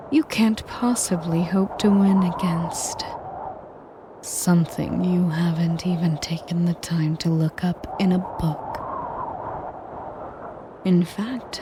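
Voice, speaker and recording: Slow, breathy, haughty dragoness, acted by a woman. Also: fairly low pitch, noisy background. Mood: mocking, amused. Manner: breathy, half-whispered, slow and menacing; pompous, condescending. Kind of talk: scripted audio roleplay monologue.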